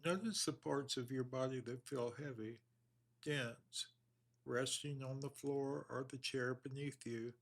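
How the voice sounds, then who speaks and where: A man guides a body scan meditation at a very slow pace. His mid-pitched voice stays level with little melodic movement. He gives each instruction in a measured, soothing way and leaves long pauses between phrases. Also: fairly even intonation, creaky.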